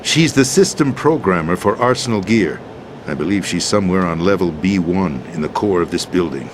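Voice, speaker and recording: An actor playing an older male president in a video game cutscene, measured and conspiratorial as he explains, urgent near the end. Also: sits low, expressive, noisy background. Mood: grave, explaining. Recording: loud game score behind.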